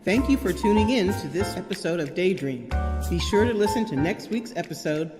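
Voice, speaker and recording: Low-voiced woman narrator over loud music. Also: expressive, fairly quick. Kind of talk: scripted credits narration. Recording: long reverb, loud music underneath.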